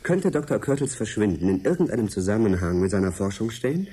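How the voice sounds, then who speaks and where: A husky, mellow, probing male journalist. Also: fairly low pitch, lively intonation, quick pace. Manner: probing, articulate questioning. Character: persistent, dryly sceptical male freelance science journalist. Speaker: actor. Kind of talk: radio drama acting.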